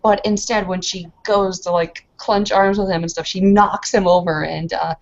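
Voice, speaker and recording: A woman's voice: lowish voice, steady tone, moderate pace. A woman playing a tabletop roleplaying game, narrating and improvising in a casual, joking, informal way. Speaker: player in a tabletop roleplaying session. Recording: dull lo-fi voice recording.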